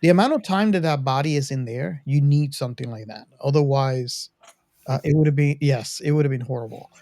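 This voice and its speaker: A man chatting casually on a discussion show, often halting and repeating his phrases. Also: medium pitch, warm tone, no room sound. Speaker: podcast host or panelist. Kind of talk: casual chat.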